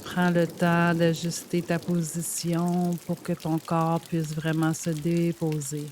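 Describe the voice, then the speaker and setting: Woman doing guided yoga nidra, soothing n slow, pretty flat melody, pauses, rain sound in back. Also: fairly low pitch, a little hiss or hum. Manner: gentle, soothing, measured with pauses. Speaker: meditation guide. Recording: steady rain sound over the voice.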